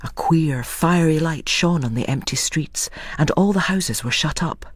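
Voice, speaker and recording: A storyteller narrates dramatic fiction in a husky, breath-edged voice of medium pitch. The recording is close and dry, with no room around the words. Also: a little slow, no room sound.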